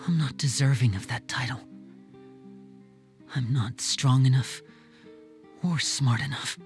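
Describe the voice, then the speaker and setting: Man playing an earnest, slow-spoken young man. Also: medium pitch, faint music behind, natural voice. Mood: self-doubting. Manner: hesitant, sincere. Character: earnest, humble young man, devoted adopted son. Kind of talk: dramatic video game dialogue.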